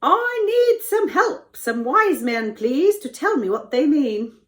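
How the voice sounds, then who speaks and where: A troubled, commanding king from a storybook, acted by a woman. He declaims theatrically and slowly, the high pitch rising and falling widely. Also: big pitch swings, full character voice. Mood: anxious. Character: commanding, troubled male Egyptian ruler telling his dreams.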